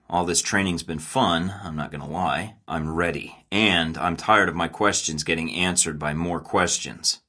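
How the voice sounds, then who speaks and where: A cocky young spy recruit, talks in a deep, low register with a forceful, bright edge. He is sarcastic, blunt and impatient, as in a studio-recorded video game. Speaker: voice actor.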